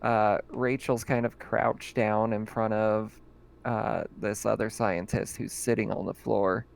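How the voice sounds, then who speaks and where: A man running a tabletop roleplaying game as game master, describing scenes in a relaxed way with many fillers. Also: lowish voice, unhurried, creaky.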